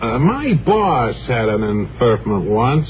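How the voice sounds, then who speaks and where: A stammering, flustered quiz contestant. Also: male voice, medium pitch, sing-song. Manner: halting, hesitant, full of stammers and trailing off. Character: flustered, rambling older male quiz contestant. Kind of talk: 1950s radio comedy acting. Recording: tinny, hissy 1950s radio broadcast, a bit off-mic.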